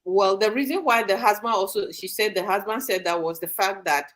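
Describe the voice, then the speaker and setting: A woman hosting a live online talk show, speaking in a measured way with frequent pauses and fillers. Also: medium pitch, fairly bright tone. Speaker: host. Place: studio or home in Sydney, Australia.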